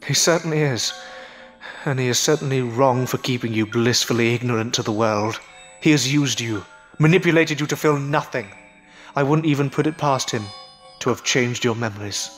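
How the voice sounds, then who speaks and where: A man's voice: mid-range voice, moderate pace, natural voice. A man playing a loyal, grieving hero in an audio horror drama, with an earnest, solemn and dramatic delivery. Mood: bitter defiance. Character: grieving, self-sacrificing, loyal man defying a monster. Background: music.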